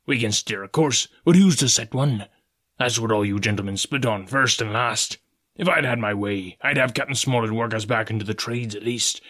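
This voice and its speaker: A man's voice: lowish voice, pushed and bright. A man voicing a cunning, domineering pirate cook from a storybook, scolding and scheming in rustic seafaring speech.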